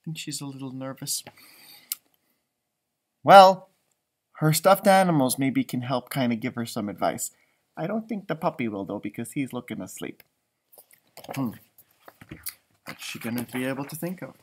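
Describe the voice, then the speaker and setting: Animated American man reading a storybook. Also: mid-range voice, moderate pace, pauses often, slightly rough. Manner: animated, commenting on pictures. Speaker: storybook reader for schoolchildren. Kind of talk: expressive children's read-aloud with chatty asides. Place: indoors, small library room.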